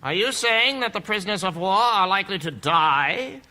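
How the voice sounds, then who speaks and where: An actor playing a skeptical judge who questions a witness in a courtroom radio drama. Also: male voice, high-pitched, animated, bright, forceful tone, exaggerated voice. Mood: probing. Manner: measured, questioning. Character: probing, skeptical male judge.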